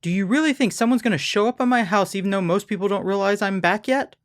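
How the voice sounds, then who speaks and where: A man's voice: high voice, fast, no room sound, clean studio sound, close to an everyday voice. An actor playing a stubborn, dryly joking man in an audio drama, talking in a casual, wry way. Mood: wry reassurance.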